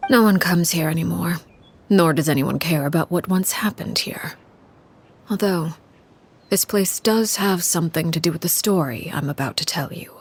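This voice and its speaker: An actress voicing a cold, composed woman who leads a household of orphans, speaking in a measured, calm and quietly commanding way with a hushed, breathy voice. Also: on the low side, slight background noise, lightly acted. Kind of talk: story-driven game voice acting.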